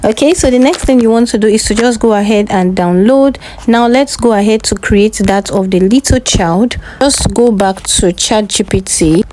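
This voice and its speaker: A woman's voice: mid-range voice, normal speed, few pauses, mellow, a little hiss or hum, rough recording. A woman presenting a casual step-by-step tutorial, instructing and often checking that the listener follows.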